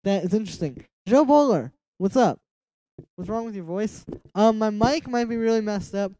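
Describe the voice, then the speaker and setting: A young man with a distinctly nasal voice, talking loosely to viewers as he works on a track. He rambles and drops into quiet asides, with a faint hiss under the desk mic in a small home room. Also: sits high, normal speed. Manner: relaxed and rambling, with many "like" fillers, mumbling asides to himself. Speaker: music producer and livestream host. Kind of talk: casual livestream chat while producing music.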